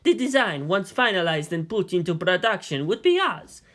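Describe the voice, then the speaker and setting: A man voicing a calm, earnest ambassador in a story reading, with a formal, diplomatic manner. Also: high voice, normal speed, exaggerated voice. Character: deferential, persuasive male ambassador, calm and earnest.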